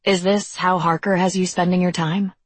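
A woman's voice: lowish voice, level tone, moderate pace, slightly rough, no echo. A woman voicing a guarded, defiant teenage girl with terse, firm, challenging delivery.

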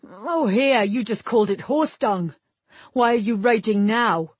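A proud young prince from a fantasy audiobook, played by a woman, earnest and unbending. The voice is husky, with formal, old-fashioned directness. The recording is very dry. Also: on the low side, a little slow, a little mellow, no room sound. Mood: defiant. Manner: formal archaic speech, direct.

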